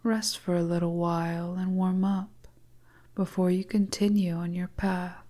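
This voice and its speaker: A woman's voice: fairly low pitch, level tone, warm tone, natural voice. Woman playing a whispering, seductive woodland witch. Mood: coaxing, beguiling. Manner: slow, breathy, coaxing whisper. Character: seductive, enigmatic woodland witch fortune-teller, teasing and beguiling. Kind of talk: hypnotic whispered roleplay.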